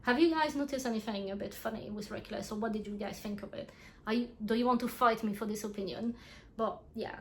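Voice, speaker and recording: A young woman whose first language is French talks through a book review in English with a clear French accent. Her voice sits a little high for a woman, and she is frank and chatty, laughing often and restarting her sentences. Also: a little fast, a little hiss or hum. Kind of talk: casual book review vlog.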